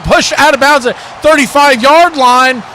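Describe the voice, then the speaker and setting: A man's voice: very high-pitched, unhurried, bright, forceful tone, a little hiss or hum. A man hosting a live stream, giving play-by-play commentary on American football. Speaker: play-by-play sports host.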